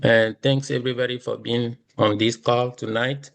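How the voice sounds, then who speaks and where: Creaky-voiced man, a guest speaker. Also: medium pitch, flat delivery, moderate pace, a bit bright. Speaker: guest accountant. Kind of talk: casual thanks on a group call.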